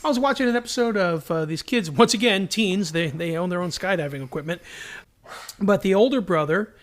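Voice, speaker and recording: A man's voice: high voice, brisk. A middle-aged man co-hosting a podcast in casual, jokey chat, telling stories with quoted asides. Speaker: podcast co-host.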